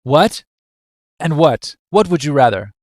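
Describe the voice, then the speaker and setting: A man's voice: on the high side, very animated, unhurried, dry recording. A man acts out a stern, wealthy father in a scripted drama, haughty and full of scorn. He blusters in old-fashioned phrases, and the sound is dry, with hardly any room to it. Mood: exasperated, commanding.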